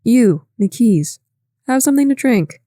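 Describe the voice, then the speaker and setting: A woman reading aloud, voicing a brusque, domineering wealthy man with a slightly lowered voice. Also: lively intonation, a little slow, warm tone, dry recording, studio quality. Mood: bossy. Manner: curt, lordly.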